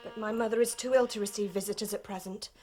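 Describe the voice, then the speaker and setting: A woman's voice: medium pitch, fairly even intonation, fast talker, music in the background, close to an everyday voice. An actress playing a guarded, weary young woman in a radio drama, curt in her answers. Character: guarded young woman, a dead man's daughter.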